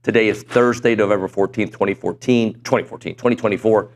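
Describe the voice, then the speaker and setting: A man's voice: fairly low pitch, fairly even intonation, quick pace. Chatty middle-aged male sports host. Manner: chatty, self-deprecating, leads the conversation. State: voice going hoarse. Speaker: sports talk show host. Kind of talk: casual sports talk chat. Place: broadcast studio.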